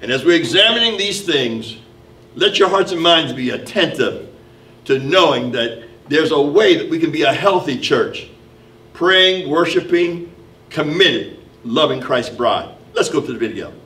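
A man's voice: a little high, expressive, a little slow, sharp tone, recorded from a distance. A middle-aged man leading a church Bible study, speaking earnestly to his listeners. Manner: earnest, exhorting. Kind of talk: church teaching. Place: church sanctuary. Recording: echoey hall, off-mic.

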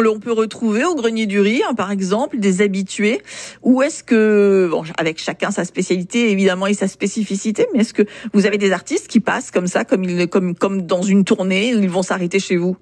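A woman's voice: medium pitch, a little mellow. A woman radio host conducting an interview with friendly, upbeat questions.

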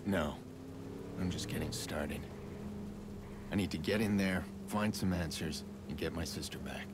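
An actor playing a grim, determined vigilante hacker in a video game, gruff and terse, with a gravelly voice. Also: male voice, a little slow, noisy background. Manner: low, terse, guarded. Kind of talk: video game dramatic acting.

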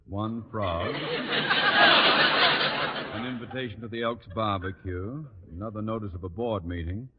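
An actor playing a pompous, flustered, middle-aged school principal in a 1940s radio sitcom, with blustering, formal, theatrical delivery. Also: male voice, fairly low pitch, measured pace, long pauses, long reverb tail, lightly acted. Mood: stern, fussy. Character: pompous, flustered, easily exasperated older male school principal. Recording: muffled, noisy 1940s radio recording.

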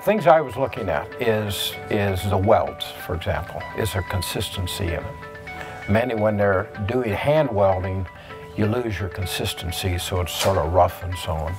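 An older man with a low voice speaks plainly on a factory floor and repeats his words for emphasis. Music plays clearly underneath him. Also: lively intonation, normal speed. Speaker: club owner touring a factory. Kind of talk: interview comments.

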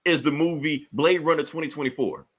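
A man's voice: a little high, brisk, a bit bright, slightly rough. A man co-hosting a podcast, talking casually, rambling and swearing often, with frequent laughs. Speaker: podcast co-host. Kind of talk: casual profane chat.

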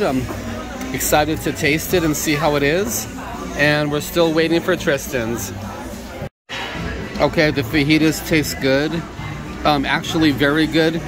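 An upbeat, chatty man reviews his meal in a busy restaurant, his voice sitting a little high for a man. Clear background music plays over the steady chatter of diners, picked up by a handheld camera mic. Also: unhurried, pauses often. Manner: upbeat, chatty, simple praise words. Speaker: vlog host. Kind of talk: casual food review vlog. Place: busy restaurant dining room. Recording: restaurant music and crowd noise.

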